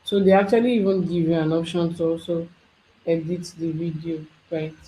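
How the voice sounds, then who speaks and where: Mellow-voiced tutorial instructor. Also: mid-range voice, steady tone, moderate pace, slight background noise, low-quality recording. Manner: explains step by step, thinking aloud. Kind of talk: screen-share software tutorial.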